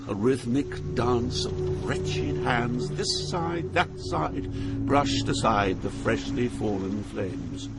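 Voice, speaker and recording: An actor playing an awed poet pilgrim, narrating a journey through Hell in a solemn, dramatic style. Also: male voice, medium pitch. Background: music.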